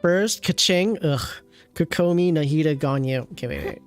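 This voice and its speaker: A streamer giving casual, rambling game commentary, teasing and chatting with viewers, in a middle-pitched voice. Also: lively intonation, normal speed, faint music behind.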